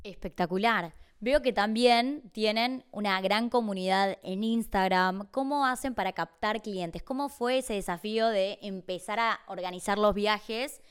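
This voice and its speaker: A woman's voice: medium pitch, unhurried, hardly any pauses, clean tone. A woman, interviewing a guest as a podcast host.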